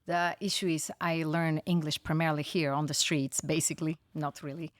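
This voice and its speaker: Guest, woman, 40s, Bulgarian accent, non-native English, lower voice, close dry mic, matter-of-fact. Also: level tone, brisk, no echo. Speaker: wine educator guest. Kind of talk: casual interview chat. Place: studio.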